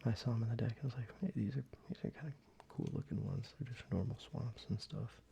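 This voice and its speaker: A young man talking softly in a half whisper, casual and rambling, as an ASMR creator. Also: medium pitch, fast talker, vocal fry. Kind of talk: soft-spoken ASMR show-and-tell. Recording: slightly muffled, with faint ambient noise.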